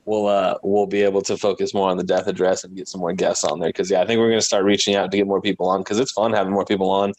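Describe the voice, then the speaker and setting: A man's voice: low-pitched, flat delivery, fast talker, few pauses, a little mellow, no echo. A man speaking as a podcast guest in a casual group chat, joking and informal.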